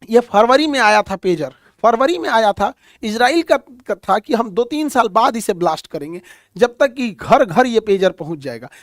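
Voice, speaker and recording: A man's voice: extremely high pitch, dry recording, clean studio sound. A man teaching in a colloquial, storytelling way, repeating key phrases as he explains. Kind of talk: explanatory current-affairs lecture.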